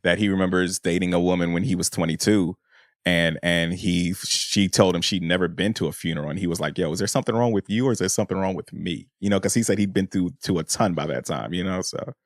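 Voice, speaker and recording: A man's voice: low voice, quick pace, dry recording, clean studio sound. A man co-hosting a podcast, talking casually as he discusses a book. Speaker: podcast co-host.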